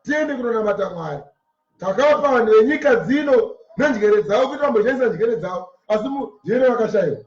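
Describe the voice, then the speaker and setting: A man's voice: very high voice, lively intonation, fast. A man with a Zimbabwean Shona accent preaching a sermon, emphatic and repeating his phrases for effect. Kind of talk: sermon expounding scripture line by line. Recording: distant mic in echoey, muffled room.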